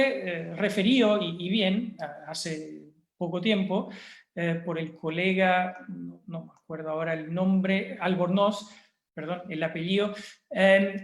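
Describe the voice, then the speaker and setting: A man with a Chilean Spanish accent giving a university course presentation over a video call, thoughtful and pausing with fillers and restarts. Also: sits high, reverberant. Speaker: university lecturer.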